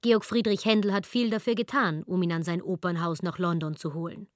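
A woman giving scripted, poetic narration in a radio drama, in a measured storytelling manner. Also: lowish voice, steady tone, no room sound, clean studio sound.

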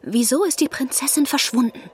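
An actress playing a sharp-witted young female investigator who asks probing questions. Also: high voice, brisk, a little hiss or hum, lightly acted. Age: young adult.

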